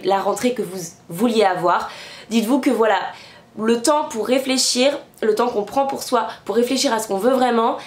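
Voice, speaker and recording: A young woman in her twenties chatting casually to her viewers in a vlog, a bit stuffy from a cold, rambling with lots of fillers. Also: fairly high pitch. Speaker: beauty YouTuber. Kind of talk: casual vlog chat to camera. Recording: echoey room.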